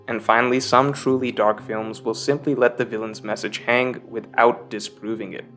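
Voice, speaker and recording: Male video essay narrator, mid-pitched, measured and emphatic, scripted analysis with faint guitar music behind.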